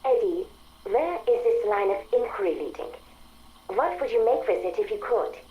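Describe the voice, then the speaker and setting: A woman's voice: mid-range voice, lively intonation, moderate pace, dull audio, close to an everyday voice. An actress playing an articulate female artificial intelligence that longs for a body, speaking precisely and formally in video game audio logs. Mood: probing, concerned. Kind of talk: dramatic video game audio log acting.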